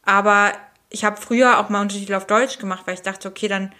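A woman's voice: mid-range voice, fairly even intonation, fast talker, bright, forceful tone. A woman chats casually and mostly answers her partner. She gives short agreements and thinks aloud about her own habits. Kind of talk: casual chat.

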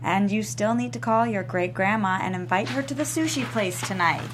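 A woman's voice: medium pitch, a little fast, noisy background, lightly acted. A woman playing a sweet but manic, bossy 48-year-old grandmother in a table read of a comedy script. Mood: playfully bossy.

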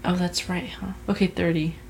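A young woman with a lowish voice, talking casually and rambling through a story. She stops and restarts often and fills gaps with "like" and "um.". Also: flat delivery, moderate pace, vocal fry, darkish tone, a little hiss or hum. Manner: chatty storytelling with many fillers and restarts. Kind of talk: casual chat.

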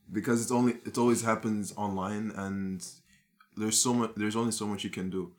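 A man with a raspy voice and a cough, talking things through in a casual group discussion and often restarting his sentences. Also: low voice. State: sick with a cough. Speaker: discussion participant.

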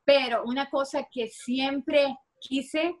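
A chatty middle-aged woman with a strong Maracaibo Venezuelan accent talks freely in an interview, filling pauses and restarting her phrases. Her voice sits a little high for a woman. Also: fairly even intonation. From Venezuela (Maracaibo, Zulia). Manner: chatty, many fillers and restarts. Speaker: actress guest. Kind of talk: interview conversation.

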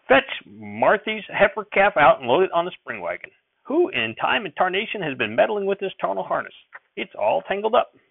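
Guy putting on a high yelly cranky old farmer grandpa, gravelly, tinny phone-ish sound. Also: very animated, moderate pace, no room sound, full character voice. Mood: flustered. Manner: yelling in rustic dialect.

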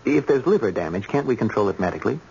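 An actor playing a cautious, wry medical resident in his twenties in a radio drama, measured, hesitant and low-key. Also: expressive, gravelly, a little mellow. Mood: cautious, doubtful. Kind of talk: 1970s radio drama acting. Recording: muffled, noisy old radio recording.

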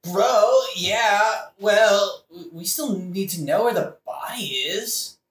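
A woman's voice: mid-range voice, slow, natural voice. A woman voicing a dim, baffled bro henchman with dopey, slang-heavy talk in a satirical audio play. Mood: hurt, baffled. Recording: echoey room.

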